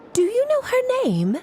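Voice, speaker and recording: A woman's voice: very high voice, darkish tone, slight background noise, full character voice. An actress playing a playful, self-assured, motherly great mage in a video game, breezy and theatrical, given to lecturing. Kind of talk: scripted video game character dialogue.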